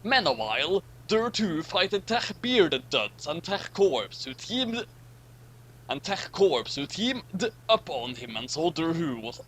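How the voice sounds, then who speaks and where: A man's voice: fairly high pitch, sing-song, slow, a bit bright, slightly rough. A man doing a dramatic, comic reading of badly written fan fiction, often stumbling over the wording.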